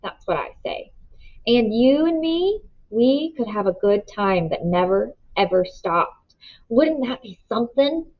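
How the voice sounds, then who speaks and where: A woman's voice: on the high side, lively intonation, measured pace. A woman voicing an eager, dreamy teenage boy who coaxes in folksy country talk. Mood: eager coaxing. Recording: dull lo-fi recording.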